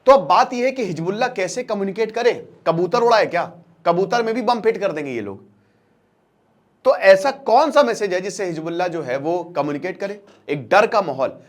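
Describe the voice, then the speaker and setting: High-pitched man giving an informal explainer. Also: animated, normal speed. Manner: colloquial, addresses listeners directly, repeats phrases for emphasis. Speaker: current affairs educator and channel presenter. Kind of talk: informal explainer lecture, storytelling with acted-out dialogue.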